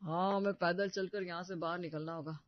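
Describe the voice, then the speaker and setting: A man's voice: high voice, steady tone, brisk, dry recording, natural voice. A man voicing a grumbling, office-going brother in a Hindi cartoon story, with a complaining manner. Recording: dull, muffled studio voice track.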